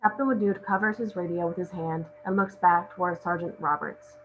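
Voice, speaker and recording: A woman's voice: fairly low pitch, fairly even intonation, brisk, faint music behind. A woman reading stage directions aloud as scripted narration at a table read. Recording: muffled, noisy lo-fi recording.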